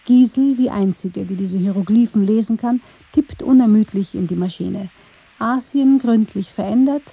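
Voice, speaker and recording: A woman's voice: mid-range voice, warm tone, breathy edge, muffled recording. A woman reading scripted narration for a radio documentary.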